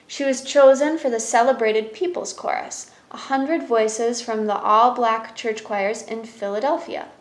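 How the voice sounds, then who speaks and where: A woman's voice: a little high, roomy sound. A woman reading a children's picture book aloud as a storyteller, in narration with brief explanatory asides.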